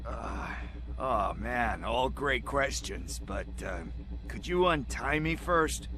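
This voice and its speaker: A man's voice: mid-range voice, animated, takes it slowly. A man playing a nervous, rambling captive informant in a video game, hesitant in his delivery. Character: nervous, rambling tied-up male police informant.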